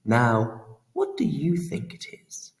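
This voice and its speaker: A man's voice: mid-range voice, huge pitch swings, slow, far from the mic, pitched above the performer's own voice. Man playing a sly tipsy trickster god. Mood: airy. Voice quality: playful, theatrical, prattling. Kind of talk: audiobook character voice.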